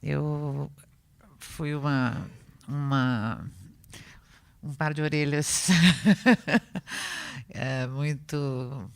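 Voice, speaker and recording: A woman with a gravelly, mid-pitched voice offers a few words at a museum seminar. She speaks slowly and hesitantly, with frequent laughter between phrases. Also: steady tone. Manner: slow and hesitant, laughs often. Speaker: seminar co-organizer. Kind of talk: brief informal remarks at a seminar.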